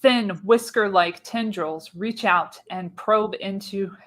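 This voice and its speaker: A woman serves as narrator of a radio play. Her voice is of average pitch. She reads scripted stage directions in a dramatic manner. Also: takes it slowly, fairly bright tone. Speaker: narrator, show host.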